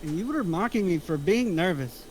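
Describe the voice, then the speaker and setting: Male crook sidekick, played by a man, high pitch, sulky, grumbling, whiny, amateur table reading, slight noise. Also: animated, normal speed, rough recording. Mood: defensive, sulky. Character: Eddie, sulky complaining criminal sidekick, male.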